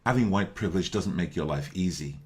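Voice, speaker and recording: A man narrating a scripted explainer video in a calm, measured, persuasive way. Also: low-pitched.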